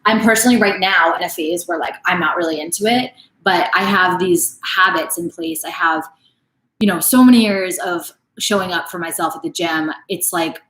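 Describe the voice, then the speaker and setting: A woman's voice: lowish voice, moderate pace, fairly bright tone, echoey room, low-quality recording. A woman in her late twenties, a fitness coach casually answering viewer questions in a live stream, full of "like" and "um" fillers. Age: late 20s. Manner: casual, chatty, frequent "like" and "um" fillers.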